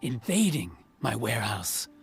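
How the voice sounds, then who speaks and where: An actor playing a jovial old village carpenter in a video game, with a creaky elderly voice and a hesitant, folksy way of talking. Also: male voice, medium pitch, big pitch swings, measured pace, faint music behind. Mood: grumbling. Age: older. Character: old male village carpenter, jovial, chuckling and plain-spoken.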